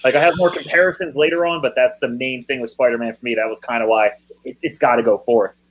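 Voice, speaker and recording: A man's voice: medium pitch, fairly even intonation, fast, slight background noise, poor audio. A man co-hosting a casual podcast, ranting in an exasperated way. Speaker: podcast co-host. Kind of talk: casual chat.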